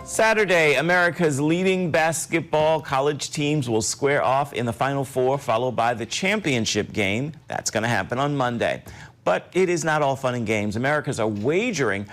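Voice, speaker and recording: A man's voice: mid-range voice, normal speed, a bit bright, soft background music. A man presenting scripted news segments and teasers in an upbeat newsreader manner.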